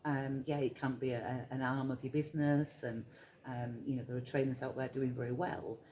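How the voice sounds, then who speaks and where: A woman's voice: a bass voice, fairly even intonation, brisk. A middle-aged woman from Yorkshire with a Yorkshire accent, a hypnotherapist talking casually as an interview guest, reflective and full of ums. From Yorkshire, England. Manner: chatty, reflective, many ums and soft laughs.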